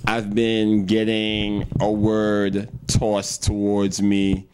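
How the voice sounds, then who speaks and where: Low voiced guy talking casual, lots of um and you know, bit of background hiss. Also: monotone, drawn-out words. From Trinidad and Tobago. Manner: rambling, many fillers and restarts. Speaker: guest, hip-hop artist. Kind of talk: casual interview talk.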